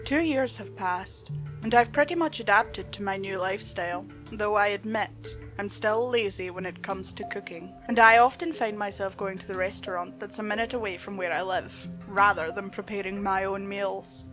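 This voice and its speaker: A woman's voice: medium pitch, normal speed, rough recording. Woman narrating horror stories over music. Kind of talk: scripted creepypasta narration.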